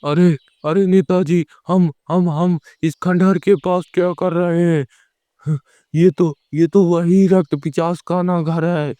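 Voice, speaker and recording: A man acts a grave, puzzled villager in a horror cartoon. The voice is fairly high yet mellow, with halting, deliberate delivery and a very dry sound. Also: fairly even intonation, smooth, no room sound. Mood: confused. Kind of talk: animated horror story dialogue.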